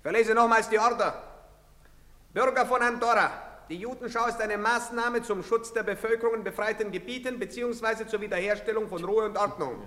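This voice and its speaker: An actor playing a brusque soldier who barks orders at townsfolk in a radio play. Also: male voice, sits high, brisk, sharp tone. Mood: commanding. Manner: barking, commanding. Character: brusque young male soldier barking orders. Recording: long reverb.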